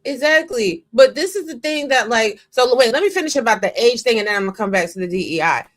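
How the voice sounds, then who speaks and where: A woman's voice: fairly high pitch, expressive, fast talker. A woman making her points with emphasis, drawing out her phrases and lecturing in a casual discussion.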